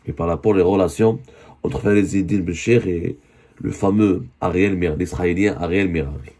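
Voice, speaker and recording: A man with a voice hoarse from a cold, giving an earnest spoken news commentary and often correcting himself. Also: low-pitched, normal speed, mellow. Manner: earnest, with many self-corrections.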